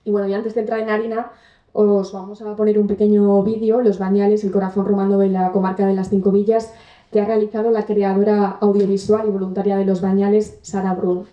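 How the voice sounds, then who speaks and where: A woman from presenting a new foundation in a formal, measured speech at a theater venue. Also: medium pitch, flat delivery, warm tone. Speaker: event presenter. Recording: echoey, distant, lo-fi room mic.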